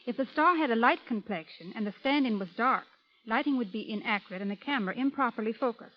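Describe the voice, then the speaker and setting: High-voiced woman on dull old radio. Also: animated, quick pace, slight background noise. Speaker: film stand-in and guest. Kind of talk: scripted radio interview with product testimonial. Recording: dull 1930s radio broadcast recording.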